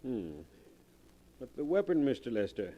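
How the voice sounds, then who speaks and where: Stern suspicious sheriff, dark timbre, brusque, insistent, dull vintage radio sound. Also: male voice, medium pitch, sing-song, unhurried. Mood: accusing. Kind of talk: 1940s radio drama acting. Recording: dull, muffled old radio recording.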